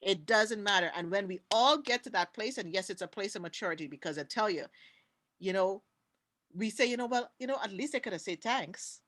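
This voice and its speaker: A woman's voice: medium pitch, expressive, a little fast, a bit bright. A woman with a Trinidadian accent speaking passionately on a conference panel, repeating her key words for emphasis over a video call. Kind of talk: impassioned talk on a panel.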